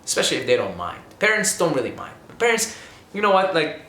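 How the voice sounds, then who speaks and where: A man's voice: a little high, huge pitch swings, fast talker, pushed and bright, a little hiss or hum. A young man from Syria, talking casually to camera with money tips, rambling and earnest, often stopping to restart and adding "okay?". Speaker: vlogger giving money tips. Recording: echoey room.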